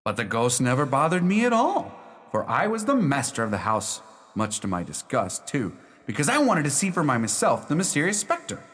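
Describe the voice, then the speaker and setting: Guy acting proud young lawyer in a radio play, swoopy highish voice, big hall reverb. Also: wildly swooping pitch, normal speed, fairly bright tone. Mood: frustrated. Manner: emphatic, storytelling. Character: proud half-Scottish, half-Yankee young male lawyer recounting his haunting. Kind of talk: theatrical radio play monologue.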